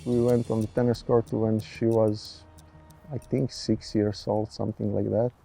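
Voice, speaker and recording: Low mellow man, even delivery. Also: a little slow, vocal fry, soft background music. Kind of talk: interview talk.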